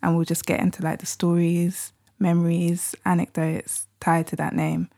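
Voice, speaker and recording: A woman's voice: on the low side, completely flat delivery, moderate pace, creaky, warm tone. A woman hosting a podcast, asking her guest questions in a friendly, curious way. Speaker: podcast host.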